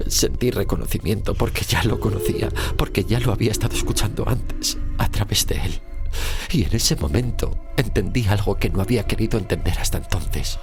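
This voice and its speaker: An actor playing a haunted driver recounting his dread in a horror audio drama, with suspenseful pauses. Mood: haunted, tense. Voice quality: low, gravelly. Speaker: narrator and lead character. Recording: dramatic music under the voice. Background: music.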